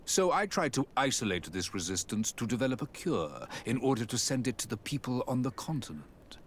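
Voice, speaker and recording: A man's voice: medium pitch, sing-song, brisk, slight background noise, natural voice. An actor playing a cold, arrogant male scientist in a game, condescending and theatrical. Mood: smug, condescending. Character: cold, arrogant male doctor defending his cruel experiments.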